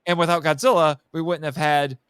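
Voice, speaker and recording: A man's voice: a little high, steady tone, fairly quick, a bit bright, clear, resonant tone, no echo. A man in a casual film discussion, speaking enthusiastically and repeating phrases for emphasis like an expert guest. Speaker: podcast guest and monster movie expert.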